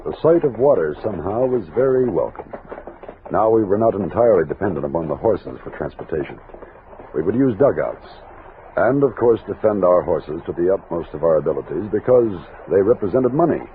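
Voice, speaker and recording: An actor playing a steady, commanding expedition captain who narrates and speaks in an old-time radio western. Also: male voice, sits low, fairly quick, slight background noise. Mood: grave, reflective. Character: steady male expedition captain, commanding, thoughtful frontier leader. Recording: narrow-band vintage radio recording with music.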